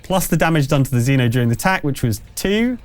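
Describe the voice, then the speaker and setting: A man running a tabletop game as game master, narrating off the cuff in a hesitant, halting way. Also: mid-range voice, expressive, clear, resonant tone. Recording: music behind the voice.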